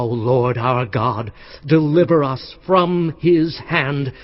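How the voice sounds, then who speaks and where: A man's voice: medium pitch, slightly rough, muffled recording, exaggerated voice. A man playing a pious, anguished king at prayer in a dramatised audio Bible, solemn and pleading.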